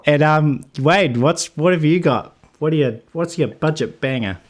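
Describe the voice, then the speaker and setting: A man's voice: fairly high pitch, moderate pace, a little hiss or hum. Easygoing New man hosting. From New Zealand. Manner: easygoing, laughs often. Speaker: host. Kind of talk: casual roundtable chat. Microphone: video call.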